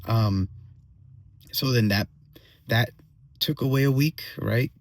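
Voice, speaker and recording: A man with a slightly hoarse voice giving a casual personal update as a vlogger, venting in a halting way, repeating phrases and checking in with tag questions. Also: medium pitch, no echo. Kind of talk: casual solo monologue, venting.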